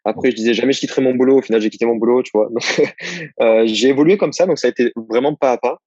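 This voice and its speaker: A man's voice: medium pitch, fast talker, darkish tone, no echo, lo-fi sound. A young man from southern France, answering interview questions about his work as a copywriter in a loose, rambling way, full of fillers and restarts. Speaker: guest, copywriter. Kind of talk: casual interview chat.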